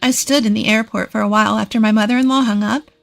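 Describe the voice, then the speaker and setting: Casting: female story narrator, higher register, scripted first-person delivery, faint music bed. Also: fairly even intonation, quick pace, creaky.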